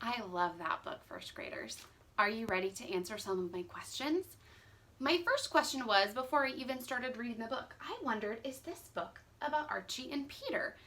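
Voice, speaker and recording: A woman's voice: a little high, animated, moderate pace, sharp tone. A woman, a first grade teacher, reading a picture book expressively and putting questions directly to young children.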